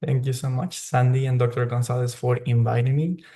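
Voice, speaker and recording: A man's voice: mid-range voice, level tone, a little fast, warm tone. A young man who grew up in Mexico, a student leader giving earnest personal testimony at a news briefing over a remote call. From Mexico (grew up there). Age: young adult. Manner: earnest, personal, some restarts.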